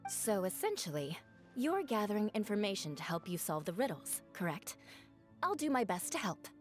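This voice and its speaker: A woman's voice: medium pitch, sing-song, normal speed, lightly acted. A woman voicing a poised, formal young noblewoman knight in an anime game, composed and precise. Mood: composed, helpful. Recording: music behind the voice. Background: background music.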